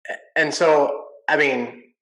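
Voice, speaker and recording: Bright-voiced easygoing man hosting. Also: fairly high pitch, fairly even intonation, takes it slowly, poor audio. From Michigan, USA. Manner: easygoing, jokey, says "man" and "you know" often. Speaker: podcast host, realtor. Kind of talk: casual interview chat.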